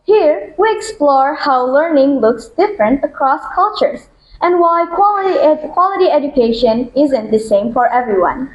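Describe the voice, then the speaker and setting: A woman with a fairly high voice co-hosts a podcast in an echoey room. She asks questions in an earnest, inquisitive way. The echo of the room is clear behind her voice. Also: expressive, moderate pace, lo-fi sound. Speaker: podcast co-host. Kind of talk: student podcast hosting.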